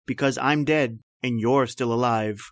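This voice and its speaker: A man playing an accusing brother of the dead in an old-time radio mystery, with an ominous, deliberate delivery. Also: mid-range voice, dry recording, studio quality, lightly acted. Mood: accusing, ghostly.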